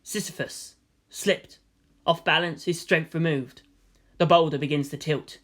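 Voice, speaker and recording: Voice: medium pitch, fairly even intonation, unhurried, fairly bright tone. A narrator reading fan fiction aloud as scripted drama, with a theatrical, solemn delivery. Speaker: story narrator.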